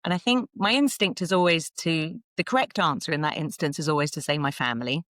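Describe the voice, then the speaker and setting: A woman's voice: sits low, fairly quick, fairly bright tone, no room sound, studio quality. A woman with a southern English accent, talking casually and chattily as a podcast guest, with some self-deprecation. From south of England, London suburbs. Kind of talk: casual conversational interview.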